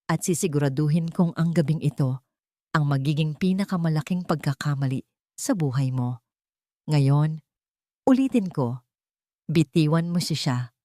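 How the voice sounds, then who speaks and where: Woman as wealthy Filipino male protector for audio storybook: soft-spoken, assured, measured, dry close sound. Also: deep voice, no room sound, lightly acted. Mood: quietly threatening. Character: calm, commanding, protective rich man. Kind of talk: dramatic audio storybook dialogue.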